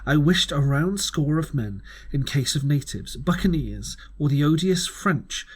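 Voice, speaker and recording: Man reading as an excitable boastful squire, swooping pitch. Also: slightly rough. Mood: exasperated. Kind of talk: storybook letter read aloud.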